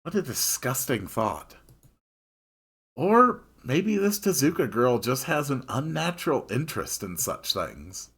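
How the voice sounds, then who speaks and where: A man's voice: mid-range voice, very animated, measured pace, a bit bright, slightly rough. A man reading a visual novel aloud as first-person narrator, dramatic and emphatic. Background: music.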